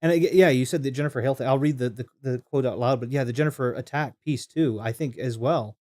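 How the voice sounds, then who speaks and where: A man's voice: mid-range voice, quick pace, a little mellow. A man streaming a game, talking casually and rambling through opinions, with frequent restarts and chat comments read aloud.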